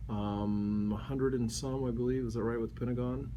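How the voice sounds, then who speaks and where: A man in his forties talks in a middle pitch, stopping and restarting his thoughts often. Faint background noise sits under his voice. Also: steady tone, dull audio. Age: 40s. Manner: halting, many ums and restarts. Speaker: interviewee. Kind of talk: recalling and explaining in a casual interview.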